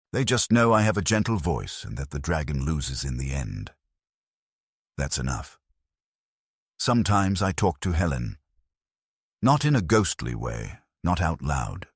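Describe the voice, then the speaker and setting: Very deep, husky older male narrator. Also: no room sound, clean studio sound. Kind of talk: first-person scripted story narration.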